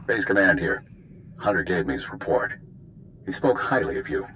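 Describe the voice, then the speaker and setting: Space game base commander, low serious voice, measured orders, faint noise. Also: male voice, expressive, fairly bright tone, poor audio. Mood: measured, commanding. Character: authoritative male intelligence commander, measured and grave. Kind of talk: video game voice acting.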